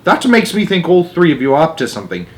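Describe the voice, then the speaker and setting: A man voicing a furious, blustering English father in a storybook, spluttering and stammering as he shouts. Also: a little high, sing-song, quick pace, pitched above the performer's own voice. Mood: angry, blustering.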